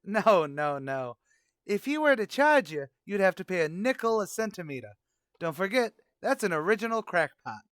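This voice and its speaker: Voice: mid-range voice, big pitch swings, normal speed, a bit bright, dry recording, clean studio sound. An actor playing a pompous, wisecracking tavern manager with a brash, mock-erudite manner in a radio comedy. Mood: smug. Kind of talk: old-time radio comedy acting.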